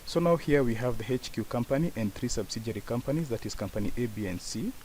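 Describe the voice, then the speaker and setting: A man narrating a software tutorial as an instructor, explaining each step in turn. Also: medium pitch, expressive, quick pace. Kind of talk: software tutorial screen walkthrough. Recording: hissy voice-over with faint background noise.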